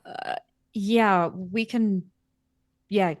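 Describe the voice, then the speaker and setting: A woman's voice: mid-range voice, steady tone, extremely slow. A woman taking part in a tabletop roleplaying game, chatting casually as a player.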